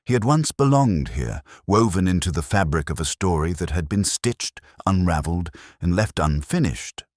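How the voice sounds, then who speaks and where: A brooding male detective played by a man recounts a case as scripted mystery narration. The voice sits extremely low yet moves expressively in pitch, and the sound is dry and close. The phrasing is measured, literary and introspective, with a solemn manner. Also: expressive, no echo. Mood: brooding, reflective.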